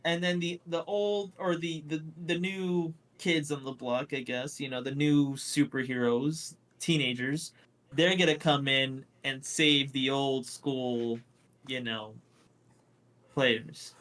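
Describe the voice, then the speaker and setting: A man's voice: medium pitch, steady tone, takes it slowly, a bit bright. A man co-hosting a casual pop culture podcast, opinionated and swearing freely as he talks. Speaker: podcast co-host.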